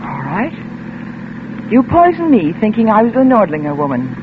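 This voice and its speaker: A woman's voice: medium pitch, big pitch swings, normal speed, background noise, lightly acted. Actress as a wry female investigator, tinny old radio. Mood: accusing, controlled. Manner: dry, wry, clipped retorts. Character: sardonic, suspicious female insurance investigator, coolly unimpressed. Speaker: radio actor and first-person narrator. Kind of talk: 1940s-50s radio drama acting.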